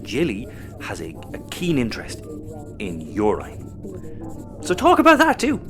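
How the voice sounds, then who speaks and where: A man's voice: medium pitch, huge pitch swings, unhurried, a bit bright, soft background music. A man playing a scheming, sarcastic Irish spacer and protective brother in a sci-fi audio comedy. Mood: scheming, animated.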